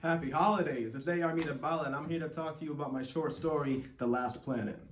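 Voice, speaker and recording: A man's voice: a little high, a little fast, heavy background noise. A man speaking as an author in a scripted promotional talk. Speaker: author promoting a short story. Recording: echoey room.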